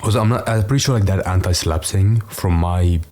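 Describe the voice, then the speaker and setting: A man's voice: low-pitched, brisk, darkish tone. A man co-hosting a stream, rambling with lots of fillers and swearing as he reacts to clips. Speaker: stream co-host. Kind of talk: casual reaction commentary.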